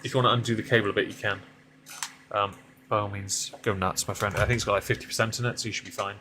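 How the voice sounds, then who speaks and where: A man's voice: fairly even intonation, fast talker. A man in his mid-thirties chatting casually with viewers on a driving game stream, rambling and self-deprecating. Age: 30s. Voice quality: deep voice. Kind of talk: casual live-stream chat while driving.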